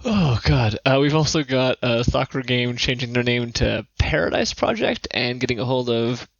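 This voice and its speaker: A man's voice: medium pitch, expressive, normal speed, vocal fry, no room sound, highs cut off. A man talking casually and informally on a podcast.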